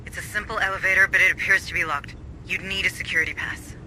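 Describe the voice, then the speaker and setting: An actor playing a brisk, self-possessed technical expert in a video game, matter-of-fact and slightly wry. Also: medium pitch, fairly even intonation, noisy background, natural voice.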